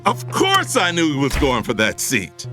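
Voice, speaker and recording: An arrogant, middle-aged billionaire in an audio drama. His delivery is lively and swooping, smug and commanding, as he schemes and taunts. Music plays underneath. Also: mid-range voice, normal speed. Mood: smug, scornful. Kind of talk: audio drama acting with first-person narration.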